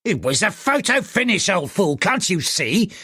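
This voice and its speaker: A man's voice: sits high, very animated, normal speed, pushed and bright, slightly rough, no room sound, studio quality, voice pushed up, heavily acted. A man doing a coarse cursing racetrack bettor. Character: rude impatient male racetrack bettor.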